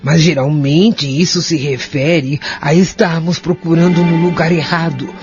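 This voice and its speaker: Woman acting motherly old Brazilian wife, earnest, comforting, formal speech, lo-fi audio drama with soft music. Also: low voice, steady tone, unhurried. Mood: reassuring. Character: kindly elderly devout wife, comforting and motherly. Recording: dull lo-fi audio drama recording.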